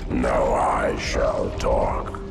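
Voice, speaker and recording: A man voicing an ancient giant hive-mind monster in a video game, speaking slow, grim, prophetic lines in a monstrous gravelly growl. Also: a bass voice, fairly even intonation, loud music behind the voice, full character voice. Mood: ominous, commanding. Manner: slow, rhyming, ominous pronouncements. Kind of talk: dramatic video game cutscene acting.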